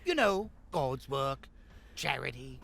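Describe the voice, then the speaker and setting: Theatrical, gravelly looter boss. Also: male voice, mid-range voice, big pitch swings, slow pace, a bit bright, a little hiss or hum, exaggerated voice. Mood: mock-friendly. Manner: theatrical, mock-courteous. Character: callous male looter leader, mockingly polite, quick-tempered. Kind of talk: horror audio drama acting.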